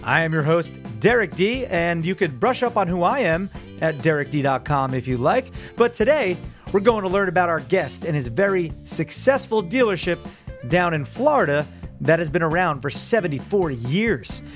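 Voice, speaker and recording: A man's voice: on the high side, very animated, normal speed, faint music behind. Upbeat chatty male podcast host. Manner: upbeat, chatty, many restarts. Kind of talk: casual interview chat.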